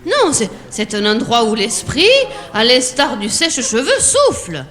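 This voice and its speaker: A woman's voice: a little high, sing-song, measured pace, a bit bright, a little hiss or hum. A woman announcing a radio comedy segment in a lofty, mock-grand manner. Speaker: show announcer. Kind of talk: scripted comic jingle announcement.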